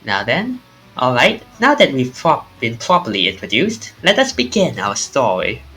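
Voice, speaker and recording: Mysterious polite storyteller read out by a streamer, swoopy lively pitch, formal and theatrical, faint music behind. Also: moderate pace. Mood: courteous. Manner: formal, theatrical delivery with small stumbles. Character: mysterious, courteous narrator of ghostly tales. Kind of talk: reading visual novel dialogue aloud.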